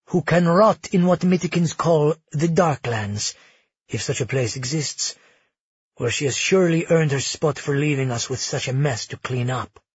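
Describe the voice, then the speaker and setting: A man voicing a cold, brooding young male prince who speaks dryly, with a husky voice. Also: a little high, moderate pace, dry recording, lo-fi sound. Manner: dry, curt, menacing.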